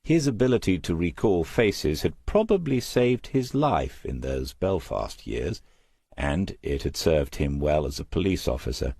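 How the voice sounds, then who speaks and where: A man narrating a crime novel as a scripted audiobook, in a measured, reflective storytelling manner. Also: low-pitched, big pitch swings, gravelly, dark tone, husky, dry recording, clean studio sound.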